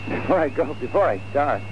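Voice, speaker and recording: A man's voice: a little high, animated, normal speed. A man on radio giving a playful, teasing farewell to a colleague. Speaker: radio presenter. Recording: dull noisy radio recording.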